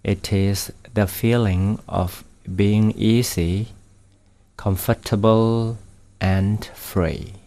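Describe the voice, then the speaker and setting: Guy guiding meditation, super slow, soft and gentle, long pauses. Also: lowish voice, steady tone. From Thailand. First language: Thai. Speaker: Buddhist meditation guide. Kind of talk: guided meditation.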